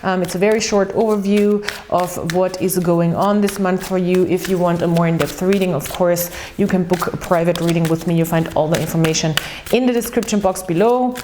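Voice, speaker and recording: A woman's voice: on the low side, steady tone, brisk, noisy background. A woman from Austria with an Austrian German accent, her first language German, giving a casual monthly tarot and astrology reading in a chatty, rambling way with many ums and restarts. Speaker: tarot reader.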